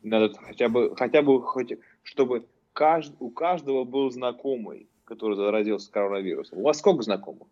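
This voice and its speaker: A man's voice: mid-range voice, a little fast, few pauses, darkish tone. Joking man with muffled sound. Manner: joking, heavy use of filler words. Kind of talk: casual chat. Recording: dull lo-fi sound.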